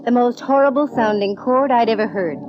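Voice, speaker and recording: A woman's voice: a little high, brisk, natural voice. An actress playing a wisecracking, confident female private eye, narrating and talking in 1940s radio drama style. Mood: brisk, intrigued. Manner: breezy, slangy wisecracks. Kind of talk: 1940s radio detective drama acting, first-person narration. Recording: dull vintage radio recording with music.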